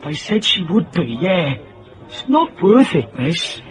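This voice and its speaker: A man's voice: high voice, very animated, takes it slowly, heavily acted. An actor playing a nervous, evasive man in a radio drama, hesitant and defensive. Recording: prominent music behind the voice.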